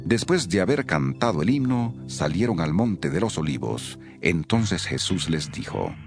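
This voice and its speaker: A male narrator with a very low voice reads scripture as a solemn story. His intonation is animated and wide-ranging. Faint music plays underneath. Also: a little slow, vocal fry.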